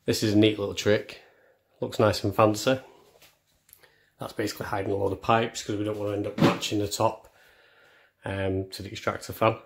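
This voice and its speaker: A man's voice: on the low side, flat delivery, moderate pace, vocal fry, long pauses, slight background noise. A young man giving a casual house tour, chatty and self-deprecating, as he films on a handheld phone. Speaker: vlogger. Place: indoors, walking through rooms of a house. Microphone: handheld phone camera.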